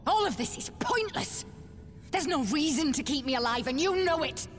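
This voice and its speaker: A woman's voice: high-pitched, expressive, moderate pace. An actress playing a bitter, ruthless defeated female commander in a dramatic video game cutscene. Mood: furious, despairing. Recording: long hall reverb, loud music behind.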